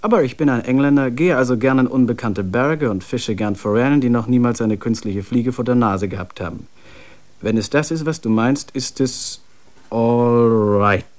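A male actor as a twenty-year-old Englishman in a radio drama. He has a medium-pitched voice and reads his letters soberly, with dry understatement and a sceptical edge. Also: moderate pace, darkish tone, close to an everyday voice. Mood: dry scepticism. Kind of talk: radio play, letter reading.

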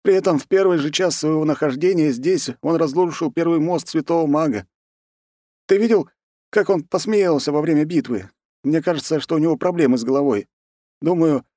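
Zealous monk, played by a male narrator, raised pitch, accusing, agitated, fantasy audiobook. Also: normal speed, warm tone, dry recording, clean studio sound, natural voice. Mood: accusing, worried. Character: zealous, indignant monk obsessed with corruption.

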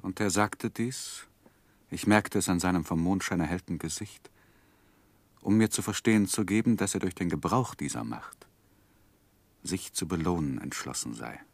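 Low-voiced, earnest gentleman narrator. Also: gravelly, no echo, exaggerated voice. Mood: grave reflection. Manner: grave, measured, literary. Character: earnest gentleman narrating his own life story. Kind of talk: 1960s radio drama narration.

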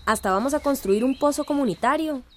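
A woman's voice: high-pitched, a little fast, slight background noise, natural voice. An actress playing a determined, indignant village woman activist in a radio drama, earnest and resolute.

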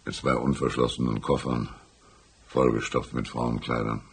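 An actor playing a folksy, shrewd older small-town lawman in a radio drama, patronizing and unhurried, with a gravelly, husky voice. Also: a bass voice, level tone, heavily acted. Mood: probing, calm. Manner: drawn-out, patronizing, calls listener "my son".

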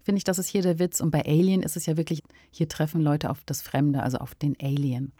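A woman's voice: sits low, steady tone, a little fast, dark tone, slightly rough, no room sound, clean studio sound. A woman co-hosting a podcast, discussing fiction in a conversational, explanatory way. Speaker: podcast co-host, fiction writer.

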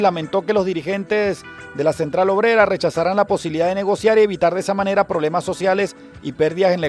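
Brief: Venezuelan male radio host, high voice, brisk announcer read, faint music underneath. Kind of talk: radio news reading and interview. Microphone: studio microphone.

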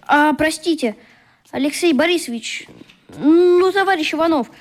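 Actor as an anxious, high-voiced young schoolboy. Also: moderate pace, close to an everyday voice. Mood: anxious, hesitant. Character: anxious, grieving young schoolboy, polite and hesitant. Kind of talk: radio play acting.

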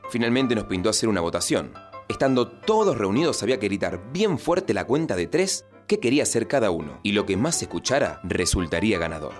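A man narrating a comic radio introduction in a deadpan storytelling manner. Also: on the low side, brisk. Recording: narration over music. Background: music bed.

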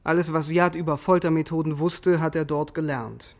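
Male actor as hardened special forces sergeant, vengeful and sardonic, fairly high male voice, radio drama. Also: fairly even intonation, moderate pace, close to an everyday voice. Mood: grim, matter-of-fact. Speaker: soldier narrating his story.

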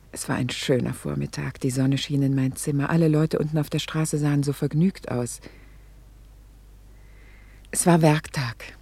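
A middle-aged wife in an intimate radio play, soft, dark and husky in a dry, close recording. She is reflective and a little vain, confiding her self-reproach with hesitant pauses that sink toward a whisper. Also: extremely low pitch, no echo, full character voice.

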